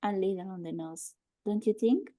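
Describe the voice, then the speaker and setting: An actress playing a smug government agent posing as a nurse, cajoling with deliberate, condescending, mock-soothing calm in a screenplay read. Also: female voice, medium pitch, close to an everyday voice.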